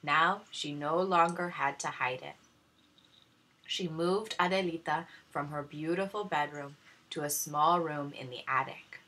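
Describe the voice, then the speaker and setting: A woman reads a children's story aloud like a teacher to young pupils. Her voice is low and a little raspy, with a forceful bright edge. The narration is expressive. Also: unhurried, poor audio.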